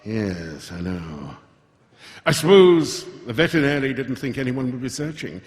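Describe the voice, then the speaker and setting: A man plays a self-important scheming official in a live radio play. His delivery swings widely in pitch, slipping from stammering evasion into bluster. The voice rings in a big reverberant hall. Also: big pitch swings, normal speed, close to an everyday voice. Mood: uneasy, evasive. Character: nervous, pompous, scheming male official turned villain. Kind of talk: live radio theatre acting.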